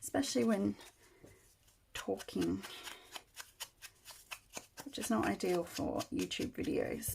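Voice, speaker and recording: Croaky woman chatting while crafting. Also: mid-range voice, a little hiss or hum. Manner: chatty, thinking aloud while working. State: croaky, getting over a cold. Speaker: crafter demonstrating journal making. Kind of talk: casual crafting tutorial. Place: indoors at a craft table.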